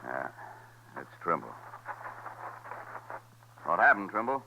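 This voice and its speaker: An American actor playing a confident, easygoing frontier gunfighter in a 1950s radio western. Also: male voice, low voice, sing-song, slow pace, creaky, exaggerated voice. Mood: grim. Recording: muffled hissy old radio recording.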